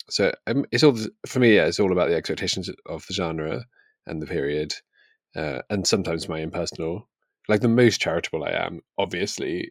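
A man's voice: sits low, creaky, dry recording, clean studio sound. A man with a British accent co-hosting a podcast, chatting casually and self-deprecatingly about books. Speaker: podcast co-host. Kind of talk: casual book discussion.

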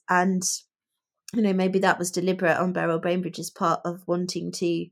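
A woman with a slightly low voice talks through her views on fiction as a podcast host. Her delivery is conversational, reflective and measured. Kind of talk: casual book discussion.

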